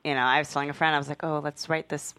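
A woman's voice: sits low, level tone, very fast, bright, forceful tone, smooth. A woman chatting casually as a member of a podcast panel. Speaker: panel actor. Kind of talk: casual chat.

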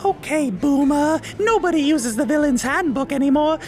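A woman's voice: high-pitched, normal speed, darkish tone, soft background music, lightly acted. A woman playing a lewd, cocky henchwoman in a fantasy game comedy, brash, teasing and full of innuendo.

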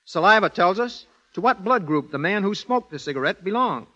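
High-pitched, bright 1930s expert man. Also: animated, a little fast. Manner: precise, explanatory. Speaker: guest criminologist. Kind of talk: formal scripted 1930s radio interview answers. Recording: dull 1930s radio broadcast.

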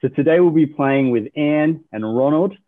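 A man's voice: on the high side, moderate pace. A man hosting a game-style podcast, upbeat as he explains the rules and asks his guests questions. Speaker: podcast host. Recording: tinny phone-like line.

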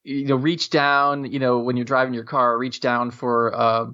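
A man in his fifties chatting casually on a podcast, rambling and halting with lots of fillers. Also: medium pitch, level tone, sharp tone. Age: 50s. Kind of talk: casual chat. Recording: dull lo-fi sound.

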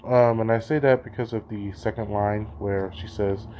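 A man's voice: lowish voice, fairly even intonation, normal speed, warm tone, a little hiss or hum, rough recording. A young man talking through his thoughts as a student, hesitant and thinking aloud, with many "you know" fillers. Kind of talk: spoken reading reflection.

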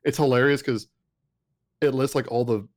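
A man co-hosting a casual, conversational review of an animated series. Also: medium pitch, moderate pace. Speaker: podcast co-host.

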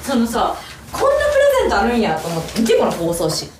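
A woman of around thirty with a Kansai accent, chatting casually and telling stories in an expressive way as a podcast co-host. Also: fairly high pitch, fast talker, noisy background. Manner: animated, expressive storyteller. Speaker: podcast co-host, stage actress. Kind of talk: casual chat. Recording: roomy echo, faint music underneath.

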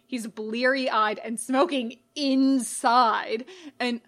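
A woman narrating scripted fiction in a measured, dramatic way with pauses. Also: on the high side, fairly even intonation. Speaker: podfic reader.

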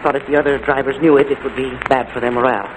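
An actor playing an uneasy, polite company boss in a 1940s radio drama, hesitant and apologetic. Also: male voice, medium pitch, little pitch movement, rapid-fire, lightly acted. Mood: defensive, uneasy. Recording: noisy old-time radio recording.

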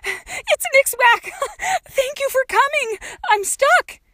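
A woman's voice: very high-pitched, expressive, fairly quick, no room sound, clean studio sound, pitched above the performer's own voice. A woman voicing a desperate, exasperated young girl, pleading urgently and emphatically in an audiobook. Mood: excited, urgent.

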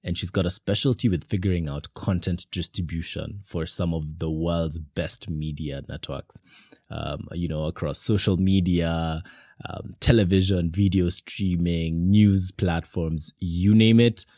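A man's voice: low-pitched, fairly even intonation, measured pace, darkish tone, no room sound, studio quality. A man hosting a podcast in a casual, chatty way, with many "you know" fillers. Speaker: podcast host.

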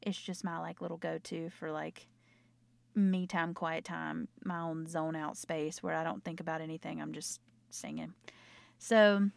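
A young Southern woman from North Carolina talks in a low voice with plenty of gravel, slightly hoarse and a little scratchy. She rambles and interrupts herself. The sound is dry and close, recorded in a small closet at home. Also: fairly even intonation, a little slow, no echo. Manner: rambling, chatty, self-interrupting. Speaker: nurse and podcaster. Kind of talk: casual late-night chat. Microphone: own microphone.

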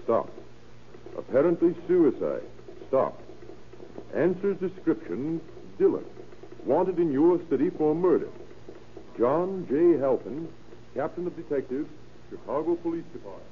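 An actor playing an official reading out a police telegram in a clipped, formal 1930s radio style. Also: male voice, a little high, sing-song, unhurried, pauses often. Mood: official, urgent. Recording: narrow-band scratchy old radio recording.

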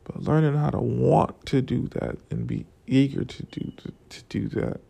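A man's voice: mid-range voice, normal speed, creaky, warm tone. A young man from Florida with a groggy morning voice, rambling casually and profanely about work and money. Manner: loose, profane, drifts between stories and life lessons. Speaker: vlogger.

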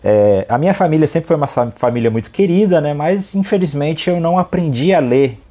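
A man's voice: on the high side, expressive, brisk, dark tone. A man, a theologian and podcast guest who talks in a friendly, self-deprecating way and laughs often. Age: 20s. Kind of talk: casual podcast interview.

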